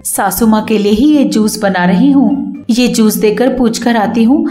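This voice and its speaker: A woman voicing a kind, trusting elder daughter-in-law who speaks gently and reassuringly. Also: on the high side, unhurried, natural voice. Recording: echoey room.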